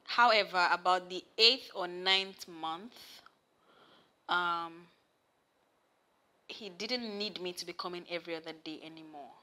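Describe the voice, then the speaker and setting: A young Nigerian woman with a Nigerian accent, a massage therapist chatting as an interview guest and telling stories with animated bursts, recorded in a studio. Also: a little slow, pauses often. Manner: animated storyteller, rises loud and high when excited. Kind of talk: casual interview chat. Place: studio set with sofa, indoors.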